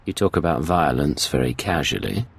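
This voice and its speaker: An actor playing a cool, composed imperial secret agent in a video game, speaking in clipped understatement. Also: male voice, extremely low pitch, animated, moderate pace, gravelly, husky, exaggerated voice. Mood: cool, dry. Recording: game music behind the voice.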